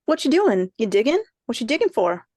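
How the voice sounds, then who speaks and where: An excitable little schoolboy acted by a woman in an old-time radio thriller. The voice is high and swings widely as he talks in folksy slang, eager one moment and skeptical the next. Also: brisk, no room sound, studio quality. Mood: doubtful, curious. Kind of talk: old-time radio suspense drama, performed live.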